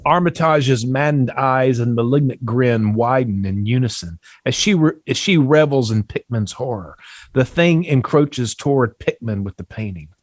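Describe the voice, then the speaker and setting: A man with a mid-pitched voice narrates horror stage directions. The sound is dry and close, with no room around the voice. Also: animated, moderate pace, no room sound. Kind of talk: scripted narration of a horror screenplay.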